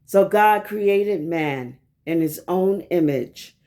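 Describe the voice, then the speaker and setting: A woman reading scripture aloud in a measured, deliberate and solemn way, pausing between phrases. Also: lowish voice, dark tone. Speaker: scripture reader.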